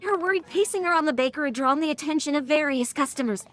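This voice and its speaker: A female narrator reads a scripted story in a fairly high voice. Faint music plays behind her storybook-style delivery. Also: fast talker.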